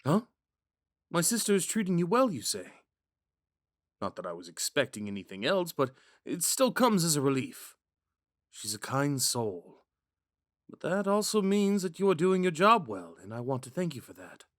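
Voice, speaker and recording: Casting: male actor as shy, smitten young prince, gentle and hesitant, lightish pitch, animated intonation, intimate dry ASMR sound. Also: normal speed, no echo. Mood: gentle, curious. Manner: soft-spoken, hesitant, stammering when flustered. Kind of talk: ASMR roleplay, scripted monologue to a listener.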